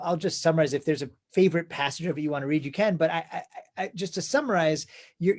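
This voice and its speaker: Higher-voiced rabbi asking thoughtful questions. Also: male voice, darkish tone. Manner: thoughtful, hesitant, restarts often. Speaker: rabbi, interviewer. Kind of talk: conversational interview.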